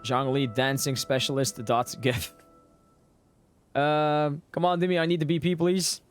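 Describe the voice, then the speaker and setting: Male streamer, fairly high voice, casual gameplay chatter, playful, repetitive phrasing, game music behind. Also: flat delivery, measured pace. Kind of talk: casual live gameplay commentary. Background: video game music.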